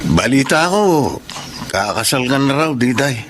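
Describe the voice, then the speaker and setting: Actor as sulky, jealous Filipino suitor. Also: male voice, mid-range voice, lively intonation, a little slow, over loud music, natural voice. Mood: bitter, hurt. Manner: sulky, reproachful. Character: bitter, jealous rejected male suitor of modest means. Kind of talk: Filipino radio drama acting.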